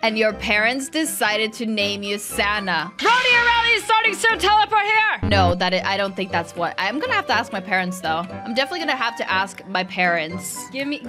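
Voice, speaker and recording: A young woman, a native Swedish speaker, hosting a casual gaming video with playful, expressive, slightly dramatic chat. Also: mid-range voice, normal speed. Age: young adult. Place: small bright indoor room. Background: background music.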